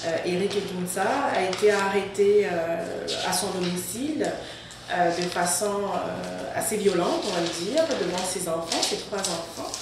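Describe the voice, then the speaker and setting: A French woman, a guest in an interview, answering haltingly with many fillers. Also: lowish voice, fairly even intonation, background noise. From France. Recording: echoey, distant mic.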